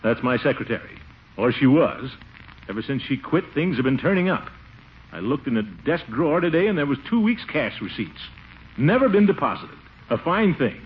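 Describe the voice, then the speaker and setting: An actor playing a pompous, excitable middle-aged official who blusters and exclaims in 1940s radio comedy style. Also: male voice, mid-range voice, normal speed, close to an everyday voice. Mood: grumbling bluster. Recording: muffled, noisy 1940s radio recording.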